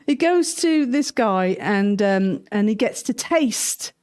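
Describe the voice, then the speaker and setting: A woman's voice: mid-range voice, lively intonation, takes it slowly, a little mellow, clean tone. A woman hosting informal Bible storytelling for children in a chatty, giggly, enthusiastic way. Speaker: storytelling host.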